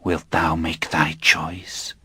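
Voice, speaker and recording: A man voicing a cold, solemn male coven leader of a satanic sect, intoning slow archaic speech in an audiobook. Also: extremely low pitch, little pitch movement, pushed and bright, breathy edge, dry recording, voice pushed down, exaggerated voice. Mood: menacing.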